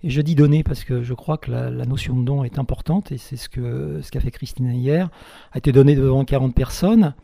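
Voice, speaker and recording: Dark, gravelly interviewer with husky voice. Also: male voice, mid-range voice, a little fast, no echo. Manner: rambling, hesitant, many fillers. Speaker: radio interviewer. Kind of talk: radio interview.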